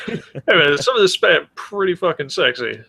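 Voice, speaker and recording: A man's voice: fairly high pitch, big pitch swings, moderate pace, creaky, bright, forceful tone, a little hiss or hum. A man co-hosting a podcast in casual banter, with a sardonic, sweary way of talking. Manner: sardonic, profane. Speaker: podcast co-host.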